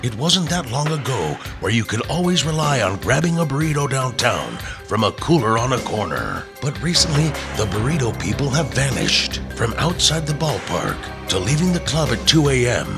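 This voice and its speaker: A man's voice: mid-range voice, big pitch swings, normal speed. A man reading scripted mock true-crime narration in a dramatic, mock-serious manner. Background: loud music.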